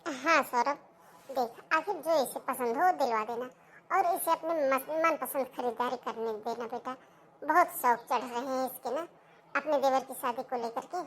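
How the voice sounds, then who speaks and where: A bossy old mother-in-law frets and scolds in a high, bright voice. She talks in a homely, nagging way, like a cartoon elder running the house. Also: normal speed, slight background noise, low-quality recording. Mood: fussy. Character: fussy older mother-in-law, worried, bossy. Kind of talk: cartoon voice acting.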